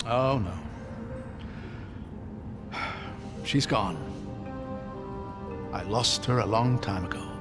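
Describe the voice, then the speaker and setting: A man playing a gentle, bookish scholar in a video game, articulate, curious and earnest. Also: fairly low pitch, very slow, pauses often. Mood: wistful, sorrowful. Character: gentle, bookish male scholar, eager and grieving father. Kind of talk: video game cutscene acting. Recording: long cavernous reverb, music behind.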